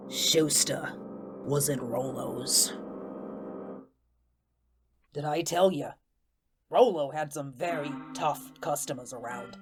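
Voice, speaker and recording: A woman's voice: low voice, over music. A woman playing a plainspoken, vengeful ghost who tells his tale in a folksy, colloquial way in an audio drama. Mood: wistful, aggrieved. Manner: folksy, colloquial, deliberate storytelling. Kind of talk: first-person audio drama narration.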